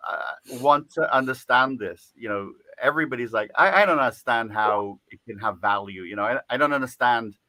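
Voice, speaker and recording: A man chatting as an interviewed author, his tone bright and forward. He talks loosely with many fillers and restarts. The sound is dry and close. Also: medium pitch, moderate pace, few pauses, no room sound. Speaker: guest author. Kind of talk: casual interview talk.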